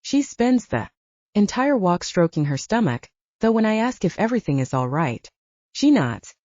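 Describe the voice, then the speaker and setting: A woman's voice: mid-range voice, very animated, normal speed, dark tone, dry recording, muffled recording. A woman reading a first-person novel aloud as an audiobook narrator in a scripted storytelling style.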